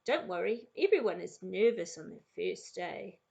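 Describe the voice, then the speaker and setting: A woman voicing an effusive, brisk female principal in a storybook reading. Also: on the low side, big pitch swings, creaky, a little mellow, slightly rough, full character voice. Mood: reassuring.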